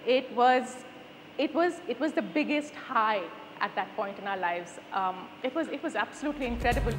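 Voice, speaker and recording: A woman's voice: a little high, animated, moderate pace, big-room sound. A woman talking about her husband's work in a casual, enthusiastic interview. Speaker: interviewee, designer's wife.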